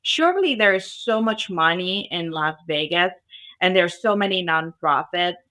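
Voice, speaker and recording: Bright-voiced woman. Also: fairly low pitch, lively intonation, normal speed. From Arabic-speaking country. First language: Arabic. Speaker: guest, founder of a cybersecurity school. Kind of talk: podcast interview. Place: Las Vegas area.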